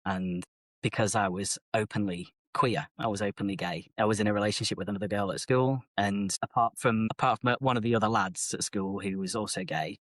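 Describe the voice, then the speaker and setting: Middle-aged brit guy opening up about his past, lowish voice, dry close sound, talks freely and corrects himself a lot. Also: steady tone, brisk, a bit bright, no echo. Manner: open, reflective, chatty, self-correcting. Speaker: guest. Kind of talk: candid personal storytelling.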